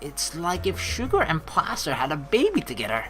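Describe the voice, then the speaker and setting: Female let's play host, low voice, lively intonation, chatty reading and reacting over game music. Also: moderate pace. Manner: playful, chatty, reacts to the story. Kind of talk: game playthrough, reading narration aloud with casual commentary.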